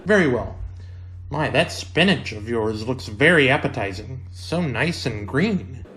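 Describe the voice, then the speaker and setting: A man playing a mild, very animated schoolteacher. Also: mid-range voice, a little slow, faint music behind. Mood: earnest, mild. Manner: polite, earnest, naive. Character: mild, oblivious male biology teacher. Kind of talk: old-time radio comedy acting.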